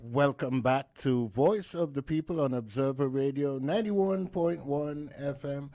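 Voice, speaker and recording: A man's voice: medium pitch, a little slow, mellow, faint music behind. A man hosting a radio interview, affirming and encouraging his guest. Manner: affirming, encouraging, frequent backchannel. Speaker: radio host.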